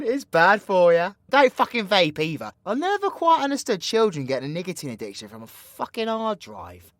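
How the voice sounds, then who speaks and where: A man's voice: mid-range voice, dry recording. A British man voicing a cocky, crude, flirty supervillain in a roleplay audio, with sweary, teasing, slangy banter. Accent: British English. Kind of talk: villain roleplay ASMR audio drama.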